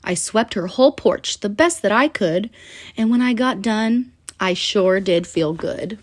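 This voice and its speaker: A woman reading a rhyming children's picture book aloud in an animated, sing-song storytelling manner with deliberate pauses. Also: mid-range voice.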